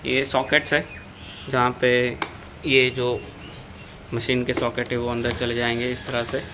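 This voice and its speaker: An Indian-accented man walks through the controls of a machine as he operates it, speaking in a level, unvaried tone. Loud handling and machine noise sits under his voice from a phone mic in a workshop. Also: mid-range voice. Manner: explains step by step while operating the machine. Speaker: machine seller demonstrating equipment. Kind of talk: hands-on product demonstration. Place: indoor workshop floor.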